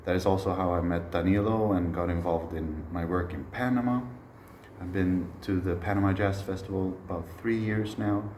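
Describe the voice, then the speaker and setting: A man in his thirties from Sweden, a musician giving a relaxed spoken masterclass with frequent pauses to gather his thoughts. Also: low-pitched, roomy sound, slight background noise. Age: 30s. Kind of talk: informal spoken lecture.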